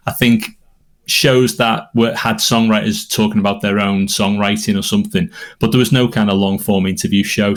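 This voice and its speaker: A man with a Liverpool accent, a songwriter and podcaster answering interview questions thoughtfully, with frequent pauses and ums. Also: on the low side. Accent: Liverpool English. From United Kingdom. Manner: thoughtful, hesitant with many ums.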